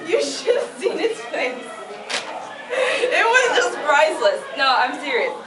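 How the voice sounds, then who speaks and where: Woman playing panicky teenage boy prankster, stammering, high and animated, live panel reading from a distance. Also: measured pace. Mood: gleeful. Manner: excitable, stammering. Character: mischievous, panicky seventeen-year-old boy, a joking prankster. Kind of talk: fan fiction read aloud at a convention panel. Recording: echoey noisy panel room, distant mic, crowd.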